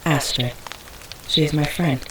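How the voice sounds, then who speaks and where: A woman's voice: deep voice, a little slow, slight background noise, poor audio. A woman playing a serious, weary, protective mother who speaks in stiff, precise, formal wording in an audio drama. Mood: serious, instructive.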